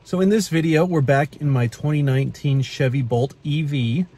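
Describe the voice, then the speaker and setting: A man's voice: a little high, moderate pace, heavy background noise. Chatty man talking from his car. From Pacific Northwest. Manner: chatty, matter-of-fact, many uhs and restarts. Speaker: car vlogger. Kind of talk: casual vlog talk to camera. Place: inside a parked car at night. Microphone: phone camera at arm's length.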